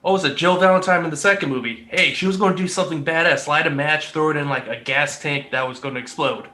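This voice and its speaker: Brief: male panelist, higher bright voice, sarcastic trivia buff. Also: fast talker. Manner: sarcastic, trivia-heavy storytelling. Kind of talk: casual panel chat.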